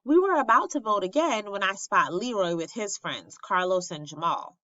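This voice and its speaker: Bright-voiced woman reading a children's story. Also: mid-range voice, animated, moderate pace. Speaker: storybook reader at an elementary school. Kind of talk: expressive read-aloud of a children's story. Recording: roomy, slightly dull lo-fi recording.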